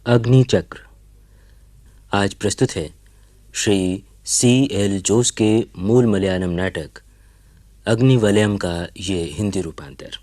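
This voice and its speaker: A man reading a formal, scripted announcement as a radio announcer, in a measured manner. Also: on the low side, dark tone, no room sound.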